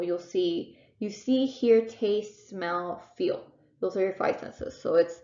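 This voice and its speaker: A young woman with a Texan accent teaches a reading lesson from home, a little congested and full of ums and restarts. Her voice carries a gravelly edge, and the recording is echoey and dull. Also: mid-range voice, darkish tone. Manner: casual, halting, many fillers and restarts. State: congested, sniffling. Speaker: tutor.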